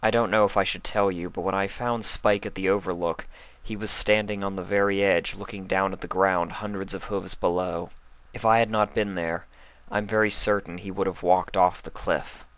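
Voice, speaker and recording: A man's voice: low-pitched, fairly even intonation, moderate pace, fairly bright tone, dry recording. A man voicing a grave, formal pony princess of the night in a storybook reading. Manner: formal, solemn.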